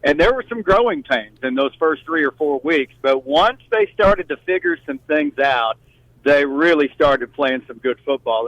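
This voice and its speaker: A man's voice: medium pitch, fairly bright tone, no room sound. A man who works as a sports announcer, giving conversational analysis as a radio guest. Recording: dry, slightly dull remote-guest audio.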